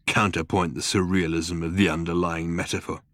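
A man's voice: deep voice, slow pace, no room sound, clean studio sound. A man voicing a callous alien starship captain in an audiobook, pompous, sneering and menacing. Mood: grim musing. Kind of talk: audiobook character voice.

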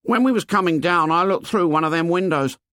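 A man's voice: sits high, brisk, a bit bright, no echo, studio quality, pitched above the performer's own voice. A man voicing an anxious, talkative young schoolboy with colloquial, ungrammatical speech in an audiobook. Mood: anxious, insistent. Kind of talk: audiobook character dialogue.

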